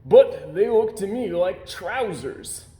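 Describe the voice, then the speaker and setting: A man voicing a blunt toy sailor with drawn-out delivery in a storybook. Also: high voice, big pitch swings, mellow, pitched above the performer's own voice, exaggerated voice. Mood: matter-of-fact. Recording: roomy, echoey indoor sound.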